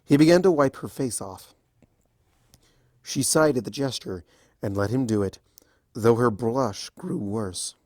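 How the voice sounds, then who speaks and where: A narrator reading fan fiction aloud as an expressive scripted storybook narration. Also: mid-range voice, a little slow, long pauses, no echo, studio quality.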